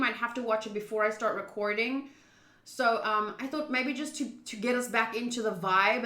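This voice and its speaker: A woman chatting casually on a livestream about a visual novel, expressive and thinking aloud as she goes. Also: a little high, roomy sound. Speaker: streamer playing a visual novel.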